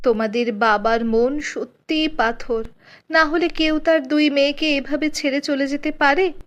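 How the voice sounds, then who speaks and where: A high-pitched, kindly bird auntie played by a woman from a children's cartoon. She talks gently and without hurry, with motherly care. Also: steady tone, measured pace. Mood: comforting.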